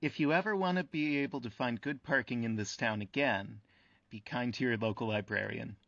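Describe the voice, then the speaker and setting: Wry, earnest radio host: gravelly, storytelling delivery, slightly dull roomy sound. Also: male voice, mid-range voice, fairly quick, a bit bright. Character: earnest, wry male host who interviews buildings. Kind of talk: radio play acting as interview host. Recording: echoey, muffled sound.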